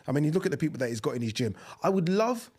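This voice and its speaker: Male podcast host, 30s, slightly husky, animated studio talk. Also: on the high side, very fast. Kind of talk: casual boxing chat. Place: studio set with dark curtains. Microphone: desk mic on boom arm.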